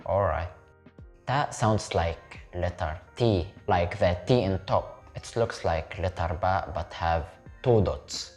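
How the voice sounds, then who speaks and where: A man with a very low voice teaches Arabic to beginners in English, his own first language being Arabic. He speaks slowly and says each word twice so the learner can repeat it. Soft music plays faintly underneath. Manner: repeats words slowly for the viewer to copy. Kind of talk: slow step-by-step language lesson.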